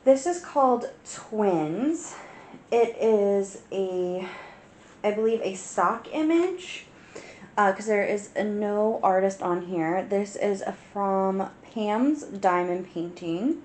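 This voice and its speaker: A woman hosting a casual crafting vlog, stuffy and sniffly from a cold, talking in an unhurried way with plenty of ums and restarts. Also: medium pitch, expressive, darkish tone, echoey room, slight background noise. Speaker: crafting channel host. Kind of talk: casual show-and-tell vlog.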